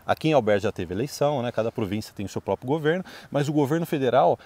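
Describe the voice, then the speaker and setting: A man's voice: mid-range voice, fast talker, a little mellow. A middle-aged Brazilian man chatting casually as a video host and adviser, joking and emphatic, recorded outdoors. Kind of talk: casual two-host chat with promotional pitch. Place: outdoors in a wooded residential neighbourhood.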